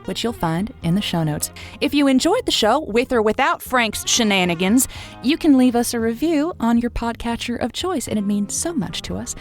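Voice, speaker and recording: An actress playing a flustered late-night radio advice host who stammers and waffles. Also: female voice, mid-range voice, lightly acted. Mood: exasperated. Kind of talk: audio comedy drama.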